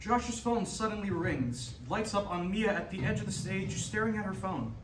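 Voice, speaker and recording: A man's voice: high voice, expressive, moderate pace. A man reading stage directions as scripted narration at a staged reading. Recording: echoey room, distant, faint noise.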